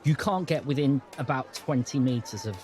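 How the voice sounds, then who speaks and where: A man improvising scene descriptions as game master, in a measured, descriptive way. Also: medium pitch, a little mellow, faint music behind.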